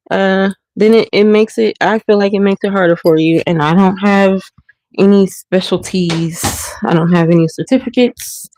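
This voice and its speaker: A woman's voice: mid-range voice, darkish tone, slight background noise, poor audio. A woman hosting a casual livestream from her kitchen, a bit stuffy and sniffling, rambling with many ums and restarts. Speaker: livestream host. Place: home kitchen. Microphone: phone or webcam while washing dishes.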